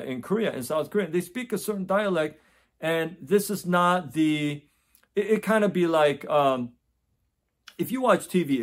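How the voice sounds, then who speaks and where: Earnest man giving talk, restarts, "okay?". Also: on the high side. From United States. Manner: earnest, repetitive, many restarts and "okay?" checks. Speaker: test prep tutor. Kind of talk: direct-to-camera advice talk. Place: home office with framed certificates.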